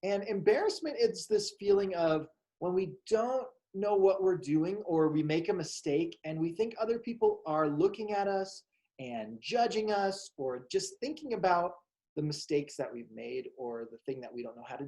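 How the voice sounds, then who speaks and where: A man hosting a psychology show for kids, speaking in a slow, calm, encouraging way. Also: sits high, rough recording. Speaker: show host. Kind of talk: gentle talk to children.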